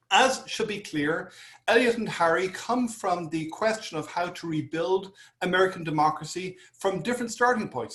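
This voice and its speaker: A man's voice: on the high side, a bit bright, echoey room. A man with an Irish accent introducing a panel as moderator, with frequent fillers and restarts. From Ireland. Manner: hesitant, many fillers and restarts. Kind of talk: panel introduction over video call.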